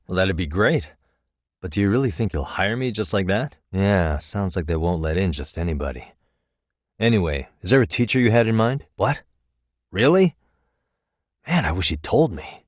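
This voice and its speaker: A man's voice: sits low, no room sound, clean studio sound. A man voicing a wry, laid-back yet firm private detective in casual, conversational English game dialogue. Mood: wry, easygoing. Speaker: video game voice actor.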